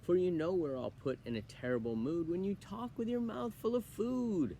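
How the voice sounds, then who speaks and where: A man's voice: high-pitched, moderate pace, gravelly, dark tone, a little hiss or hum, voice pushed up. A man voicing an exasperated father scolding his son in a rhyming storybook poem.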